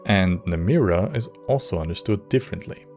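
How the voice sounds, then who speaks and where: Low-voiced man narrating a lore explainer. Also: lively intonation, fairly quick, a little mellow, faint music behind. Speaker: narrator of a game lore video.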